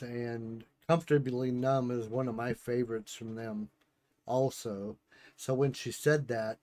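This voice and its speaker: A man hosting a reaction video, tired and hoarse from a sore throat, talking casually and a little apologetically. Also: mid-range voice, darkish tone.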